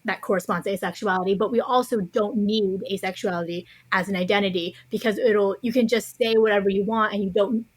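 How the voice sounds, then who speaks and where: A woman's voice: mid-range voice, steady tone, fairly quick, few pauses, slightly rough, poor audio. A woman speaking as a podcast guest, explaining her views conversationally in a thoughtful, carefully qualified way. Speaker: interview guest, author.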